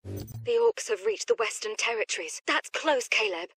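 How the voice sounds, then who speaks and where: A woman's voice: medium pitch, animated, normal speed, vocal fry, noisy background. A woman playing a worried, easily exasperated factory worker in a sci-fi game.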